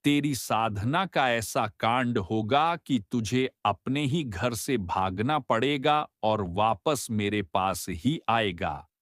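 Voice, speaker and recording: A man's voice: medium pitch, expressive, slow pace, hardly any pauses, fairly bright tone, no room sound, clean studio sound. A man playing an irritable wandering holy man in a cartoon, declaiming with indignation. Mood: angry cursing. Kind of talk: cartoon voice acting.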